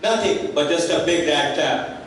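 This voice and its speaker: A destitute, embittered peddler played by a man declaims theatrically in a fairly high voice. It is amateur stage drama, heard from a distance in a very echoey, noisy hall. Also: unhurried. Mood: bitter, musing. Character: poor, hungry, bitter male rat-trap peddler. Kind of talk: amateur stage-play acting.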